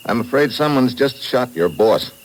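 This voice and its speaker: A man's voice: medium pitch, lively intonation, normal speed, slight background noise, lightly acted, low-quality recording. A man with an American accent playing a tough, wisecracking secret agent in a vintage radio drama, clipped and dry. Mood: brisk. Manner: clipped, hard-boiled, questioning. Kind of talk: 1950s radio detective acting and narration.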